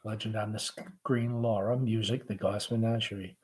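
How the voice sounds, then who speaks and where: A school principal reading a play aloud, narrating stage directions in a measured, deliberate, expressive way. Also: medium pitch.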